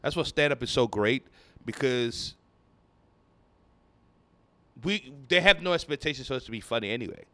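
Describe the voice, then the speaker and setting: A man from Nigeria, a comedian telling stories in a rambling, animated way during a casual podcast chat. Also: mid-range voice, normal speed, long pauses, dry recording. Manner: rambling, animated storytelling with quoted voices. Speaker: comedian guest.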